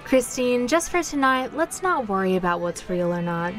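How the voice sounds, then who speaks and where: A woman playing a confident, reassuring young witch in an audio drama, self-assured and smooth-talking. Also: on the high side, big pitch swings, normal speed.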